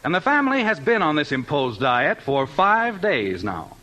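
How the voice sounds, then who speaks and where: A man's voice: on the high side, big pitch swings, moderate pace. A man announcing a 1950s radio show in a formal, projected, scripted style. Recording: old radio broadcast over loud music.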